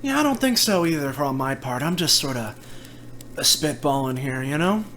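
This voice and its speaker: A man's voice: a little high, lively intonation, normal speed, fairly bright tone, a little hiss or hum. A man playing a folksy, casual adventurer in a tabletop roleplaying game, speculating in colloquial phrasing. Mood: musing.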